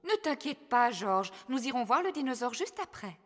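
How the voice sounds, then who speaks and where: A woman voicing a patient, gently firm mummy pig in a French children's cartoon, explaining and reassuring. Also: on the high side, very animated, moderate pace, a little hiss or hum.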